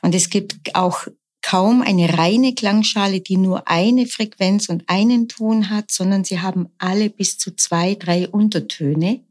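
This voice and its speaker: A middle-aged woman, a nurse, explaining her practice in calm, measured interview answers. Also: mid-range voice. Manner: calm, measured, often slow and deliberate. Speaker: nurse and sound bowl practitioner.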